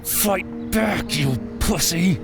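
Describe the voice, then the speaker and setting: A man's voice: high voice, expressive, very slow, over loud music, pitched above the performer's own voice, heavily acted. A man voicing an angry, guarded, tormented man in an audiobook drama, curt and foul-mouthed. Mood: hostile. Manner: curt, profane.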